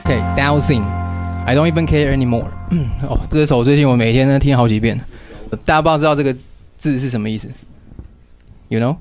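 A young man with a Taiwanese accent hosting a casual radio chat, halting and joking about himself. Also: mid-range voice, a little mellow, faint music behind. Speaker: radio show host and comic artist.

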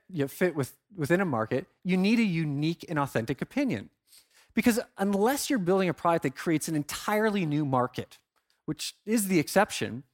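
A man with a fairly high-set voice speaks as a conference presenter. His delivery is conversational and informal, with emphasis placed on the key phrases. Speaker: conference speaker, product marketer.

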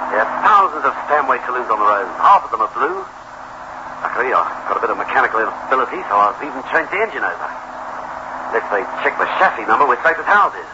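An actor playing a guilt-ridden, jittery husband in a 1960s radio horror drama. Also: male voice, mid-range voice, very animated, fast talker, natural voice. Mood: brusque, dismissive. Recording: muffled, noisy old radio recording.

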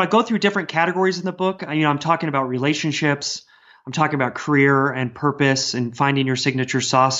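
A man's voice: medium pitch, fairly bright tone. A man speaking earnestly and discursively as a guest author in a casual podcast conversation. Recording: dull, lo-fi sound.

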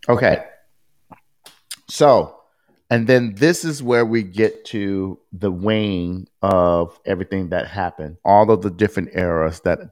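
Deep-voiced man explaining a court ruling. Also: no room sound, clean studio sound. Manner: explains a court ruling at length, reads passages aloud, quizzes his co-host; blunt, with casual swearing. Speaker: podcast co-host. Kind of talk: casual legal discussion.

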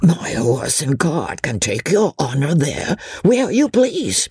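A man playing a husky, obsequious old peddler. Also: a little high, huge pitch swings, a little mellow, no echo, clean studio sound, voice pushed up, full character voice. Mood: obsequious eagerness. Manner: humble, deferential, deliberate. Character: obsequious, cunning, greedy old male peddler. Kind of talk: audiobook character voice.

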